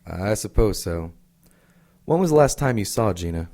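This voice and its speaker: A man's voice: on the low side, animated, moderate pace, warm tone. An actor in an audio drama playing a plainspoken, skeptical male police detective with a doubting manner. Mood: doubtful. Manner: matter-of-fact, doubting.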